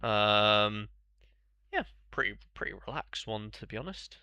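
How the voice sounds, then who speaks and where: A young man, talking casually on a live stream, his voice stuffed-up and congested. His tone is bright and clear, and the sound is close and dry with no room around it. He rambles, answering viewers as he goes. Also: fairly low pitch, lively intonation, measured pace, dry recording, highs cut off. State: congested, bunged up.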